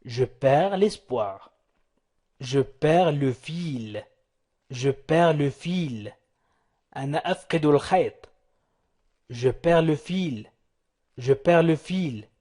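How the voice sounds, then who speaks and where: Slow, husky man drilling phrases. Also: a little high, dark tone. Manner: repeats phrases slowly, splits them into chunks. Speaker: language teacher. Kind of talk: slow repetition drills for learners.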